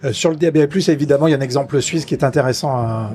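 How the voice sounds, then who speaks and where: A man's voice: medium pitch, racing, warm tone. A man asking questions casually as a radio interviewer.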